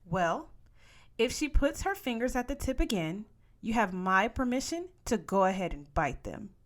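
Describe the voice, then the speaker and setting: A woman's voice: fairly high pitch, big pitch swings, moderate pace, voice pushed up. A woman voicing a firm, teasing, no-nonsense Mexican American mother, coaxing and commanding, in a storybook reading. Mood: insistent.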